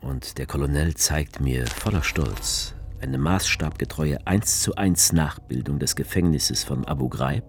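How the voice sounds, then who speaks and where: An actor playing an ironic, detached author who narrates a radio play in the first person, in a dry literary tone. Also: male voice, a bass voice, lively intonation, a little slow, gravelly, hardly any pauses, a little hiss or hum, heavily acted.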